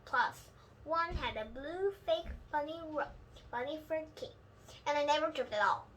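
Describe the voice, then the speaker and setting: A woman's voice: high voice, fairly bright tone, slight background noise, poor audio. A young female reader voicing a gushing, boastful little schoolgirl in a children's storybook, pushy and eager. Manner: pushy, rapid repeated questions. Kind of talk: children's storybook read-aloud.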